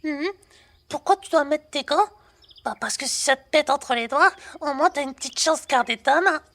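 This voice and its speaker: A woman plays a brash young working-class girl for a roleplay audio drama. Her voice is very high, and she talks in loose, casual slang. She is cheeky and always ready to tease. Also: moderate pace, a bit bright. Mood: teasing, breezy.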